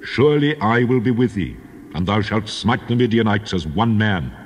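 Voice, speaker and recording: Man acting as God, booming in long reverb. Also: animated, moderate pace, a little hiss or hum, close to an everyday voice. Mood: solemn, assuring. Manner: solemn, authoritative. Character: the Lord God, solemn commanding male divine voice. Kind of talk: dramatized scripture reading.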